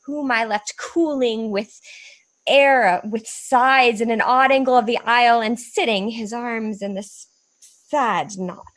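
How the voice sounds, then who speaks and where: Woman playing airy spirit servant, kinda high voice, theatrical shakespeare audio drama, eager to please but wants freedom. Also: fairly bright tone. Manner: theatrical, deferential, earnest. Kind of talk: Shakespearean radio play acting.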